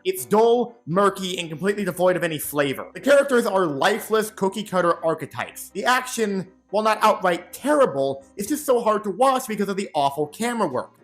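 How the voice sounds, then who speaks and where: A young man with a high, bright voice delivering a sarcastic film review. His lines are scripted and dry, with a faint music bed under them. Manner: sarcastic, mock sports-announcer bits. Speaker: movie reviewer. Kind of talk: comedic scripted review narration.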